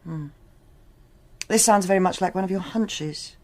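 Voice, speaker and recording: An actress playing a brisk, sceptical senior policewoman with an authoritative manner in a radio crime drama. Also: mid-range voice, darkish tone, close to an everyday voice. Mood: sceptical, stern. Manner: crisp, authoritative, dry. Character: brisk, sceptical, commanding female police superintendent.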